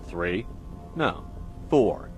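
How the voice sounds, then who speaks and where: An actor playing a hard-nosed military commander in a space video game, curt and authoritative. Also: male voice, fairly low pitch, lively intonation, extremely slow, faint music behind. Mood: dry, firm.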